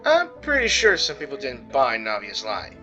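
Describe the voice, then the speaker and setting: A woman's voice: extremely low pitch, wildly swooping pitch, moderate pace. A woman giving casual gameplay commentary with wry, offhand asides. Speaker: gaming video creator. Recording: dull lo-fi microphone, faint music behind. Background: faint game music.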